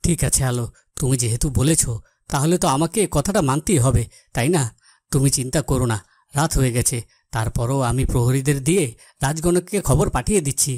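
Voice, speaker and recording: A man's voice: mid-range voice, brisk, darkish tone, no room sound, studio quality, natural voice. Man acting a kindly cartoon king. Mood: kindly agreeable. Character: kindly, agreeable adult king. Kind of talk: Bengali fairytale cartoon dubbing.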